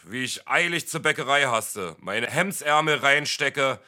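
Bright, forceful harried man. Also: mid-range voice, lively intonation, measured pace. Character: harried townsman rushing out to work. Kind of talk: radio play monologue.

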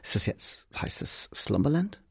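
A man's voice: measured pace, no echo, in a whisper, studio quality. An older man from Manchester, a native English speaker with an English accent, tells casual anecdotes as an interview guest in a rambling way, repeating words. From Manchester, England.

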